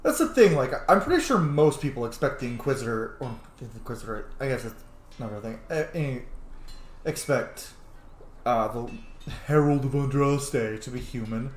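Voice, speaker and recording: A man's voice: mid-range voice, animated, normal speed. Man doing let's-play commentary, echoey room. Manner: informal, rambling asides. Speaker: host of a gaming series. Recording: echoey room, faint noise.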